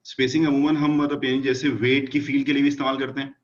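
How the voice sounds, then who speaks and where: A man's voice: mid-range voice, flat delivery, fast talker, lo-fi sound. A man explaining animation with everyday examples, full of casual fillers. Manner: talkative, fillers like "matlab" and "achha yaar".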